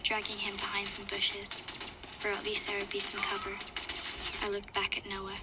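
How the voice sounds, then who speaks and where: Woman playing young girl survivor telling her story, sombre n watchful, pretty flat, loud noise behind her. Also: medium pitch, normal speed, lightly acted. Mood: sombre, tense. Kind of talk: dramatic first-person audio log narration. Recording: loud ambient sound behind voice.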